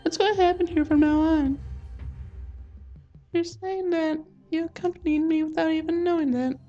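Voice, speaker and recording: A man voicing a sweet, innocent, childlike anime girl in a visual novel, in falsetto. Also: fairly even intonation, moderate pace, mellow, dull audio, soft background music. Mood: innocent, puzzled.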